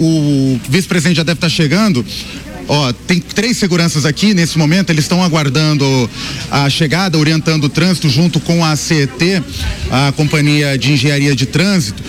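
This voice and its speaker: Brazilian male field reporter, slightly high voice, live on the street narrating the scene. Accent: Brazilian Portuguese. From São Paulo state, Brazil. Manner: thinks aloud while describing the scene as it happens. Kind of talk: live election-day news report. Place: outdoors at a busy street by a polling station.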